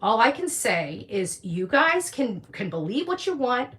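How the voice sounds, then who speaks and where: A woman's voice: a little high, big pitch swings, unhurried, fairly bright tone. A woman in her fifties talking combatively and dismissively in a livestream rant, swearing with heavy emphasis. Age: 50s.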